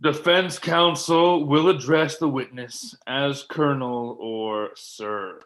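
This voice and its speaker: Man acting a stern, unhurried military judge. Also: mid-range voice, fairly even intonation, a bit bright. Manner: formal, measured, firm. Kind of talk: dramatic script reading.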